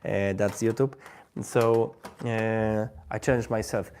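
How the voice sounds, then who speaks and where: A man with a Polish accent, a native Polish speaker, hosting a live stream in English and talking in a halting way with many restarts as he searches for words. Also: on the low side, steady tone, darkish tone, slight background noise. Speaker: language-learning livestream host.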